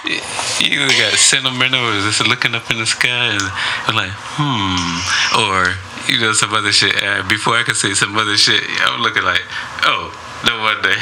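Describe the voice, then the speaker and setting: Low gravelly laid-back podcast guy. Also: steady tone, moderate pace, background noise. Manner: laid-back, slangy, drawling. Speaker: podcast co-host. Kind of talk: casual chat.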